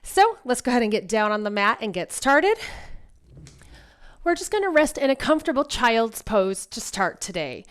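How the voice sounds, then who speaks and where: A woman's voice: fairly high pitch, big pitch swings, moderate pace, clear, resonant tone. A woman yoga instructor getting over a cold, guiding a class in a casual, reassuring way. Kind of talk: guided exercise class instruction.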